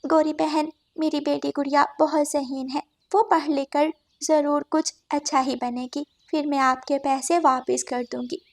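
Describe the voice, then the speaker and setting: A woman voicing a gentle, devoted sparrow wife and mother with a polite, pleading manner in a cartoon story. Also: sits high, level tone, moderate pace, few pauses. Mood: earnest request.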